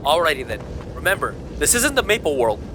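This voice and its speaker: A man's voice: fairly high pitch, big pitch swings, fairly quick, background noise. A man playing an earnest young mage hero in a game fandub, careful and instructive. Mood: earnest, focused. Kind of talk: anime game fandub acting.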